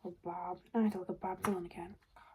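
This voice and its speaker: Soft-spoken, mellow woman in ASMR roleplay. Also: lowish voice, little pitch movement, rapid-fire. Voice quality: soft, breathy, often dropping into a whisper. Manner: hesitant, chatty, murmured reading aloud. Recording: noticeable background noise. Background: paper and tapping sounds.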